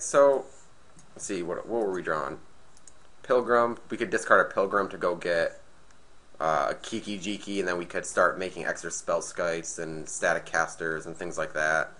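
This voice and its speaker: A man with a slightly raspy, nasal voice from being sick, casually commentating a card game match and thinking aloud as he plays. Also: deep voice, measured pace, pauses often, bright, forceful tone. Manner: thinking aloud, hesitant restarts. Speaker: gameplay commentator.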